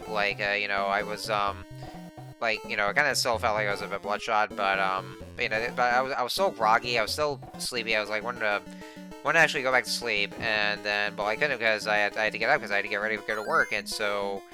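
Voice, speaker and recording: A hoarse young man giving casual, rambling commentary while playing a video game, full of fillers and restarts. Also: lowish voice. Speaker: gameplay commentator. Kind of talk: casual let's play commentary. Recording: game music playing behind the voice.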